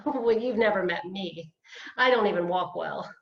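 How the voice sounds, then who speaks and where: A woman's voice: mid-range voice, unhurried, gravelly. Woman as a wry nervous retiree, roomy dull audio. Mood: wry, hesitant. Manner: hesitant, wry, chuckling. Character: self-deprecating, nervous 58-year-old woman, retired, beginner dancer. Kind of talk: play reading over video call. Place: indoors, on a video call.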